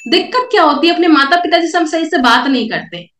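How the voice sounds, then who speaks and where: A woman's voice: extremely high pitch, lively intonation, quick pace, a bit bright, reverberant, slight background noise. A young woman with a sore throat gives a coaching seminar talk, direct and repetitive, and coughs now and then. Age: young adult. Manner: coaching, direct, repeats points. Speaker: network marketing speaker. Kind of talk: motivational seminar talk with slides.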